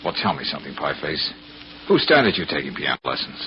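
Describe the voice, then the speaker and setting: An actor playing a cocky, easygoing private detective with breezy, wry 1940s radio delivery. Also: male voice, rumbling low, very animated, brisk, a little hiss or hum. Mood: casual probing. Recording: dull vintage radio recording.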